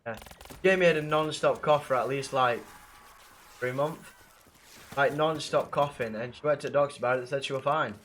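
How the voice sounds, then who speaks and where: A young man with a blocked nose and rough throat, chatting casually and swearing with viewers while playing a game. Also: mid-range voice, normal speed, long pauses, a bit bright, a little hiss or hum. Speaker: livestreamer playing a zombie game.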